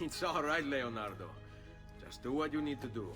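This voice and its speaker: A man doing a calm young adventurer, lively mid-pitched voice, game music clearly underneath. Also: normal speed, lightly acted. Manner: brief, calm replies. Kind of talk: video game dialogue.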